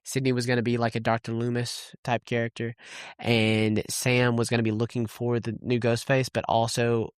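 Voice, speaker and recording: A man's voice: medium pitch, completely flat delivery, normal speed, clean tone, dry recording, studio quality. A man co-hosting a podcast in casual movie chat, rambling and blunt, swearing freely. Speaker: podcast co-host.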